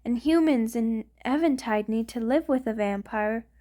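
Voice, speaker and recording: A woman playing an affectionate, refined vampire in an ASMR romantic roleplay, speaking tenderly and a little hesitantly, with gently formal wording. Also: on the high side, normal speed, natural voice. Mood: earnest, reassuring. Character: affectionate, refined vampire queen in love with a human.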